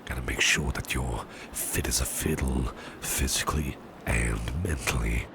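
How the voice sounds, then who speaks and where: A man playing a doting, protective businessman boss with a sly edge in an ASMR roleplay, gravelly and breathy at times, with genteel, old-fashioned phrasing. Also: rumbling low, a little slow, background noise. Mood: caring, reassuring. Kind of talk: ASMR roleplay, soft personal attention.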